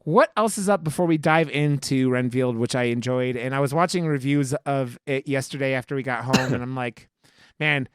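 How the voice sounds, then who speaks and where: Give this voice the feel of a podcast host in casual conversation, medium in pitch and recorded dry. The delivery is emphatic and rambling, with plenty of swearing thrown in. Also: moderate pace, clear, resonant tone, dry recording. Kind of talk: casual chat, movie discussion.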